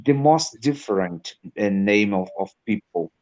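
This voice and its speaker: Portuguese-speaking male teacher, slow hesitant English, lowish voice, muffled call audio. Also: animated, a little mellow. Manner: halting non-native English, many fillers. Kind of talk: casual conversation practice. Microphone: video call.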